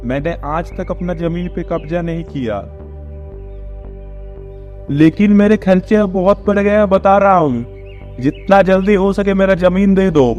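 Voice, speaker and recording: Casting: greedy, aggrieved cartoon uncle played by a man, high-pitched schemer, muffled recording over music. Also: a little slow, a little mellow. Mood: demanding. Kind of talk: cartoon moral story dialogue. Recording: dull lo-fi audio with music behind.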